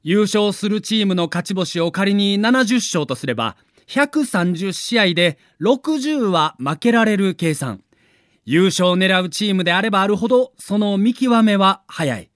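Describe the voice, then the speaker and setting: A male storyteller narrates a scripted sports documentary with a Kansai accent. His voice sits high and swings widely in pitch, giving the telling a theatrical, dramatic shape. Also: expressive, unhurried, a bit bright, dry recording, studio quality. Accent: Kansai Japanese.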